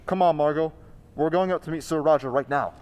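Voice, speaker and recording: A man playing a bold, fearless investigator in an old-time radio drama, speaking deliberately and challengingly. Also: a little high, a little mellow, close to an everyday voice. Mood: resolute. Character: Lamont, fearless calm male investigator confronting a ghost. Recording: reverberant hall sound.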